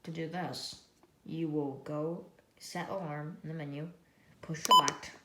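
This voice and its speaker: An American woman giving a casual hands-on product demonstration, halting and often trailing off to restate herself. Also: sits low, fairly even intonation. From United States. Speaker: product reviewer. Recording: echoey room, loud noise, clicks.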